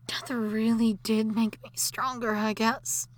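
A woman voicing an obsessive, jealous wife who has come back from the dead, in an audio drama that moves between intimate whispering and shrill shouting. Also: flat delivery, slow. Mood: soothing. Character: obsessive jealous undead wife, possessive and unstable.

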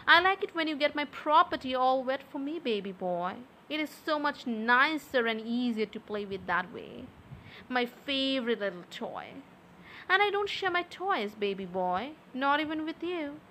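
A young adult woman speaking softly and close to the mic in an intimate audio roleplay, with a teasing, coaxing manner. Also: high-pitched, a little hiss or hum. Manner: soft, slow, teasing and coaxing.

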